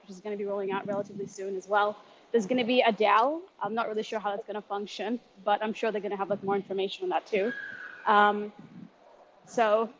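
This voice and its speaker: Casual woman on a muffled, noisy call. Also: mid-range voice. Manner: informal and slangy. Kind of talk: casual live audio chat. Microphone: online voice call. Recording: muffled, noisy online audio chat.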